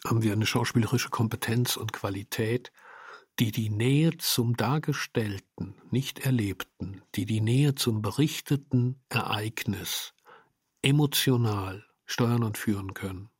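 A man with a rather low, husky voice reflects on radio work in an interview. His sentences are long, formal and intellectual, winding through clauses. The sound is close and dry. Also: measured pace, no echo. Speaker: radio play maker.

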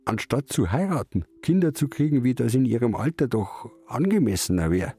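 An actor playing a gruff, taciturn old fisherman in a radio drama, speaking and narrating laconically with a Bavarian accent. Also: mid-range voice, big pitch swings, a little fast, dark tone, soft background music. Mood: musing, grumbling. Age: older. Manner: laconic, grumbling dialect speech. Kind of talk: radio drama, part narration in third person.

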